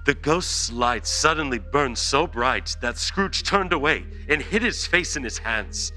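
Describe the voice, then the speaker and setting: A man's voice: mid-range voice, expressive, measured pace, sharp tone, soft background music, low-quality recording. A man narrating a scripted radio play as storyteller and announcer.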